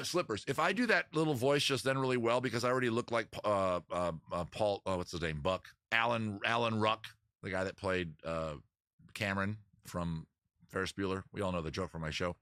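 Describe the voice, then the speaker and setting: A man's voice: on the low side, normal speed, fairly bright tone, smooth, no echo, clean studio sound. A man hosting a live-streamed beer-and-songs show, rambling and joking about himself between songs, talking to the chat from under a small outdoor rain tent. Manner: rambling, self-mocking, chatty with the chat. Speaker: host, singer-guitarist. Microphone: microphone on a live-stream setup. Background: guitar.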